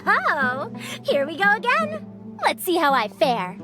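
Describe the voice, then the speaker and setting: A video game scholar who carries herself like a venerable elder. Her voice is youthful, high and expressive, with formal, slightly haughty old-fashioned phrasing over background music. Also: slow pace, full character voice. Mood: resigned, formal. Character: proud scholarly woman, young-sounding but talking like an elder.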